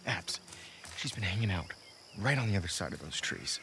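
An actor playing a middle-aged American doctor and devoted father, gentle but resolute, in a naturalistic video game style. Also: deep voice, big pitch swings, normal speed, slight background noise, exaggerated voice. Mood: warm, teasing. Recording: music under the dialogue.

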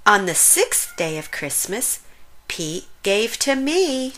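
A woman reading a rhyming children's picture book aloud in an animated, sing-song way with drawn-out emphasis. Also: medium pitch. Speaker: children's story reader.

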